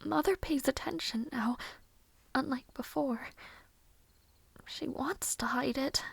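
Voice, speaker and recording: Casting: gentle, downtrodden young maid for audio roleplay, played by a woman; high, slow, breathy half-whisper, formal phrasing. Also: dry recording, exaggerated voice. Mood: weary, anxious. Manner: slow, soft, formal old-fashioned phrasing, musing to herself. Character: weary, mistreated young maid, a gentle bride-to-be.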